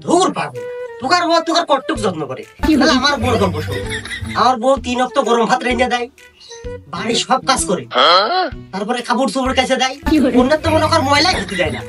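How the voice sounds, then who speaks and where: A man's voice: very high voice, sing-song, a little fast, recorded from a distance, music in the background. A man playing a married villager in a comedy skit, a bragging and grumbling henpecked husband. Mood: boastful. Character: boastful married village man who fears his wife.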